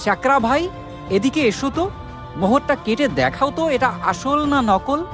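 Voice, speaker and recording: A woman's voice: sits high, expressive, measured pace, over music. A woman playing a clever, confident male court jester in a cartoon, crafty and commanding. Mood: triumphant. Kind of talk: cartoon comedy dialogue.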